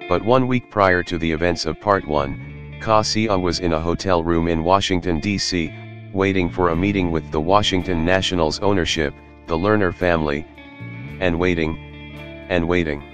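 An actor as a dramatic narrator reading scripted scene-setting for an audio drama. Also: male voice, low-pitched, moderate pace, a bit bright, faint music behind. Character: dramatic male story narrator.

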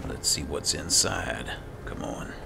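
Slow deep guy muttering in character over a video game, noisy ambient sound behind. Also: creaky. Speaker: gamer playing in character.

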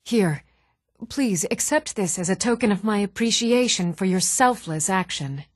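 An earnest woman from a fantasy video game, played by an actress, speaking in an even-toned voice of medium pitch. The sound is dry, with no room around the lines. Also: moderate pace, no echo, natural voice. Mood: solemn, sincere. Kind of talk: video game character dialogue.

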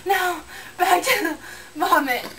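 A woman's voice: extremely high pitch, slow, bright, forceful tone. A young woman reading a comic story aloud in a giggly manner, in a bedroom. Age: young adult. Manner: giggly, breaking into laughter while reading. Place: small bedroom, indoors. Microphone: handheld camera a short way off. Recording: distant mic, noticeable room noise.

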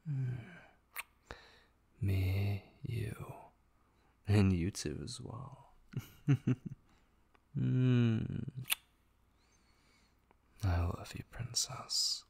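A man playing a tender, devoted young gamer boyfriend in an ASMR roleplay, speaking softly, intimately and hesitantly with a husky voice. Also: lowish voice, very slow, pauses often, heavily acted. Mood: loving, grateful. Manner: soft, hesitant, intimate, with murmured asides. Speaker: ASMR voice actor.

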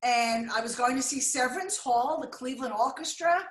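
An older American woman giving a chatty, excitable slideshow lecture on a video call, now and then apologizing when the slides skip. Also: high-pitched, normal speed. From United States. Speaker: art deco lecturer. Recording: echoey room.

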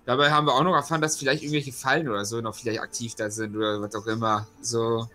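A man's voice: mid-range voice, fairly even intonation, quick pace, sharp tone, soft background music. A man who plays in a tabletop role-playing game, giving a casual recap in a hesitant, rambling manner. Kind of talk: casual spoken session recap.

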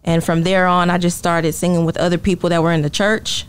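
A woman's voice: fairly low pitch, flat delivery, a little fast, smooth. Young woman being interviewed. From Marshall, Texas, USA. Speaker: R&B singer, interview guest. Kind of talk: casual interview chat. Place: small indoor podcast studio. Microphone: close podcast mic on stand.

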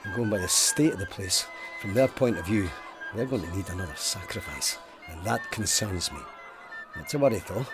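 An actor with a Scottish accent playing a grim, haunted, commanding police sergeant in a formal, clipped manner in an audio drama. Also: male voice, low-pitched, expressive, moderate pace, music in the background, low-quality recording. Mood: wary. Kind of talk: full-cast audio drama acting.

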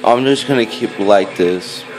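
Slow, rambling teenage boy gamer. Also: lowish voice, poor audio. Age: teenager, fourteen. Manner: hesitant, rambling. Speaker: gaming video maker. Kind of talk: casual gameplay commentary. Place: indoors, filming a game on a screen. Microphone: handheld phone. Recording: phone recording with game music behind. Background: game music.

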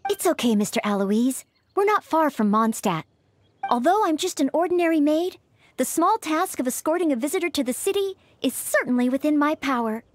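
A sweet polite young maid in a game, high lively voice, eager to help, reassuring. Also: female voice, fairly quick, a little hiss or hum. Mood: polite, confident. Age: young adult. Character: earnest, gentle young maid knight-in-training, eager to help. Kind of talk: video game character acting. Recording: game music behind the voice.

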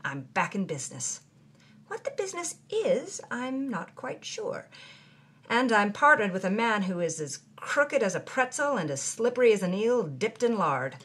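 Wry, husky-voiced woman narrator. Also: mid-range voice, sing-song, normal speed, fairly bright tone. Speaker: host and narrator. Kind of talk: dramatic reading of journals.

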